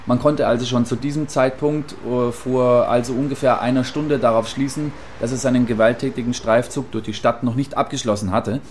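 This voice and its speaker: Faint ambient noise sits behind a man acting out a male field reporter in a mock live broadcast. His voice is medium in pitch. He reports eagerly and chattily, with small hesitations and a deferential manner. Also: fairly even intonation, a little fast, hardly any pauses, close to an everyday voice. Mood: matter-of-fact. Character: eager, apologetic male field reporter giving eyewitness account. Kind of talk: satirical radio play as live news report.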